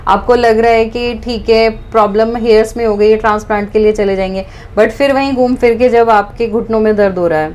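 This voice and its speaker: A woman in her forties interviewing a doctor, talking in an animated, chatty way and switching freely between Hindi and English. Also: fairly high pitch, brisk, few pauses. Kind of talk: podcast interview, casual chat.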